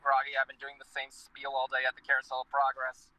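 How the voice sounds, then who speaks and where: A man's voice: medium pitch, completely flat delivery, fast, pushed and bright, rough recording. A man hosting a casual talk show from inside his car, joking and rambling with a groggy voice after a day of work. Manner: joking, chatty, self-interrupting.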